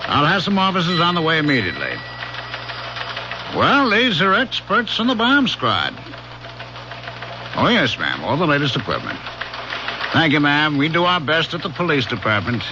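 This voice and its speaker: A man's voice through heavy noise and a dull old radio sound. a sardonic city police lieutenant, slangy and jaded, with pitch that swings freely through every line. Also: wildly swooping pitch, moderate pace, long pauses. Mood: placating. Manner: wisecracking, slangy. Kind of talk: 1970s radio drama acting. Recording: noisy, muffled old radio broadcast.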